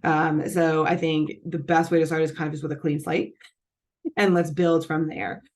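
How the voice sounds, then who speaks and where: A woman's voice: low voice, level tone, normal speed, a little mellow. A woman hosting a nutrition channel, explaining things in a casual, chatty way with lots of fillers and self-corrections. Her voice is scratchy and congested from allergies. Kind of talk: casual explanatory talk to camera.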